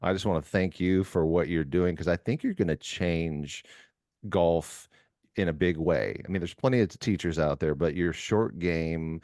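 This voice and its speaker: The low-voiced, effusive man hosting a sports podcast, rambling through stories for his guest, close and dry in the earbuds. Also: normal speed, a little mellow, no room sound. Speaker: podcast host and amateur golfer. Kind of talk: casual, enthusiastic podcast interview.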